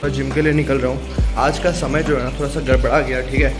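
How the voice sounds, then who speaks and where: A man's voice: medium pitch, flat delivery, fast, over music. A young man with an Indian accent, chatting casually to his phone held at arm's length as a vlogger, mixing in English words. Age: young adult. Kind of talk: casual vlog chat with English words mixed in. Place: on the move in car, garage, home and gym.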